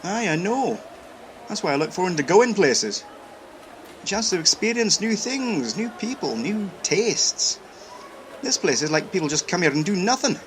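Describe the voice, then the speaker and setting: Male actor as young Scottish Highlander, restless and hearty, highish lively voice, colloquial, noisy background. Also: moderate pace. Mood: grumbling. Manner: colloquial, grumbling. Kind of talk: audio drama acting. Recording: audible background noise.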